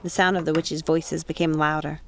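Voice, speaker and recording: A woman's voice: deep voice, flat delivery, moderate pace, slight background noise. A woman reading an audiobook as a dramatic, suspenseful narrator. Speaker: audiobook reader. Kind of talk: dramatic scripted story narration.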